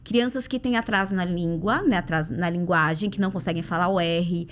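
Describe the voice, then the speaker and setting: A woman's voice: lowish voice, brisk. A woman with a Brazilian Portuguese accent hosting a conversational podcast interview, talking her way toward questions with frequent little tag checks. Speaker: podcast host.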